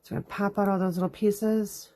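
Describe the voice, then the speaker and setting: A woman's voice: medium pitch, level tone, moderate pace, warm tone. Stuffy-nosed woman demonstrating crafts. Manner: chatty, explains each step as she works. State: stuffy from allergies, sniffling. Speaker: crafting host. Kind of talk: live card-making demonstration. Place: home craft room. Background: dog dragging its bed around.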